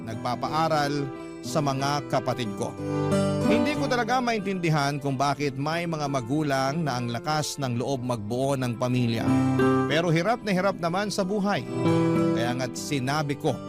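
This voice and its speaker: A man's voice: a little high, animated, moderate pace. A man with a Filipino accent reading a scripted first-person story as a radio drama narrator. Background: guitar music.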